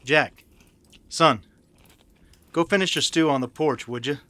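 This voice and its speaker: A man playing a proud, prickly cowboy father in a western radio drama, talking in a terse, gruff manner. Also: a little high, a little slow, sharp tone, no room sound, pitched above the performer's own voice. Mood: fatherly. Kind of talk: old-time western radio drama acting.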